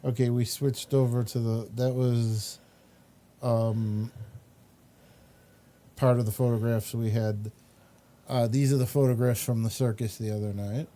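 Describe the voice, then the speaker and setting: A man hosting a casual commentary on photos, speaking haltingly with pauses mid-sentence. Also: mid-range voice, flat delivery, dark tone. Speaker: host.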